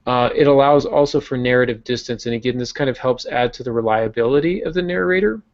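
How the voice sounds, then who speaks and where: A man's voice: medium pitch, fast. A man hosting a podcast, explaining fiction craft in a casual, teaching way with frequent fillers. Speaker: podcast host and novelist. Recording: dull lo-fi podcast audio.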